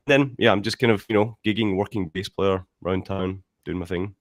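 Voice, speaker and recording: Low-voiced man from Scotland. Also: steady tone, fairly quick, dry recording, clean studio sound. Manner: friendly, informal, many "yeah" and "um". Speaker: bass teacher and podcaster. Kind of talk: self-introduction on a live stream.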